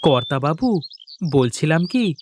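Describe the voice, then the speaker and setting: A man's voice: fairly high pitch, very animated, slow, a little mellow, a little hiss or hum. A man voicing a meek, put-upon poor labourer and doting father in a cartoon, often pleading. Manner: pleading, hesitant with superiors. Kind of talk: cartoon voice acting.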